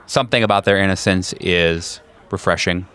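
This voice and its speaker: A man's voice: low-pitched, moderate pace, fairly bright tone, smooth. A man narrating a tabletop horror game as its game master and host.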